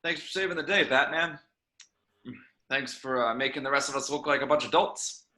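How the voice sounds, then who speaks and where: A man's voice: medium pitch, normal speed, sharp tone, close to an everyday voice. A man playing a flustered, grudging police commissioner in an amateur script read. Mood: grudgingly grateful. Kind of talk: amateur table read acting. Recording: echoey room, home microphone.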